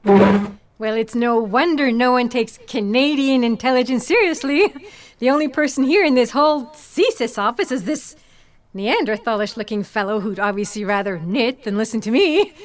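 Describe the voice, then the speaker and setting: A woman's voice: fairly high pitch, lively intonation, normal speed, lightly acted. An actress playing a nervous, self-doubting young woman scientist in sci-fi audio theatre, hesitant and self-conscious. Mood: doubtful, inward.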